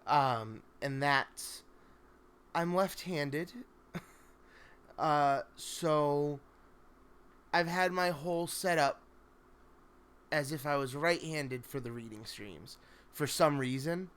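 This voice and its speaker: A man hosting a story-reading livestream, chatting casually in a halting, think-out-loud way over background noise. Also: on the high side. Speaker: host of a spooky story reading stream. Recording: clear steady background noise.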